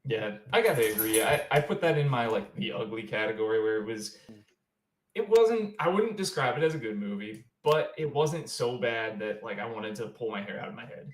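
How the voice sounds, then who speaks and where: A man's voice: mid-range voice, expressive, moderate pace, echoey room, rough recording. A man co-hosting a casual podcast, giving his opinions conversationally. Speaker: podcast co-host.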